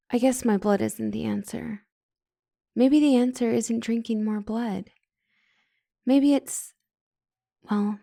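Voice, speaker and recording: Woman acting as slow, mellow, smitten lady doctor. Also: on the high side, vocal fry, no room sound, studio quality. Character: female doctor, couples counselor, flustered and smitten. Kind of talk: ASMR roleplay audio drama.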